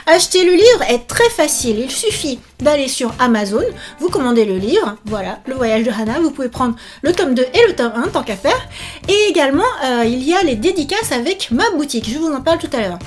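A woman in her thirties chatting casually to camera in an animated, exclamatory and enthusiastic manner. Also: high-pitched, a little fast, faint music behind. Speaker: author and YouTuber promoting her book. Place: small indoor room set up for filming.

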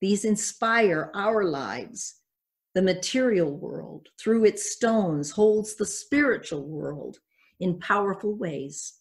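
An older woman pastor giving a measured, reflective sermon, reading from notes at a church pulpit. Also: mid-range voice, animated.

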